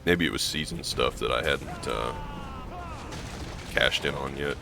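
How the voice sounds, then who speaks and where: Hoarse, gravelly low-voiced man. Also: a little slow, background noise. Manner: casual, meandering, lots of ums and restarts. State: hoarse from allergies. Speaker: gaming channel host. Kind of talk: rambling gameplay commentary.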